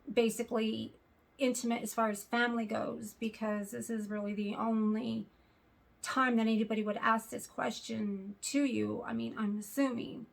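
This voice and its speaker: A young adult woman with a sore throat and a raspy voice, talking earnestly to camera in a casual vlog with lots of fillers. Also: on the high side, low-quality recording. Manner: earnest, hesitant, many fillers. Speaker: vlogger.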